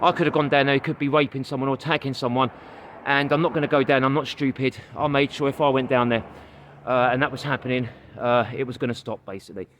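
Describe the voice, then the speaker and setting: A man's voice: medium pitch, fairly even intonation, quick pace, fairly bright tone. A man with a London accent talking casually to his camera while he walks, addressing viewers as guys, with lots of uh fillers and getting a little out of breath. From London, England. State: walking, gets out of breath. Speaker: vlogger and comedian. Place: outdoors on a busy city street. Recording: street noise and passing vehicles. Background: street traffic.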